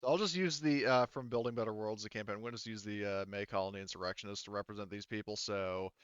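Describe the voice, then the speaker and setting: Man, game master, casual improvised narration, clear smooth voice, dry, slightly muffled recording. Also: fairly low pitch, quick pace, no echo.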